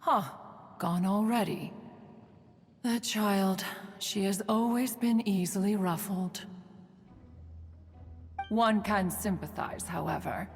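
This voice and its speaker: A woman's voice: mid-range voice, slow, pauses often. A woman playing a proud, fussy yet fond immortal adeptus in a video game, speaking in formal, archaic phrases and calling herself "one.". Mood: fond, solicitous. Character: stately immortal female adeptus, proud, fussy, fondly meddling. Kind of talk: video game character acting. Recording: long reverb, music behind.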